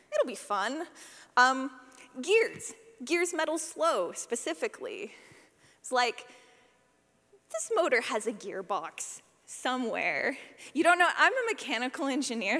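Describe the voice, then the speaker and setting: A woman's voice: high-pitched, fairly bright tone. A woman giving a casual, conversational workshop talk as a sound designer, with a wry, playful, self-deprecating manner. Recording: long hall reverb.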